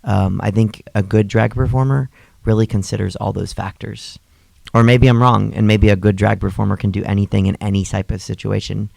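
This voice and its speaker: A man's voice: fairly low pitch, fairly even intonation, brisk, gravelly, darkish tone, no room sound. A young adult man co-hosting a podcast, a comedian and drag performer, chatting casually with many fillers and restarts. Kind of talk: casual chat.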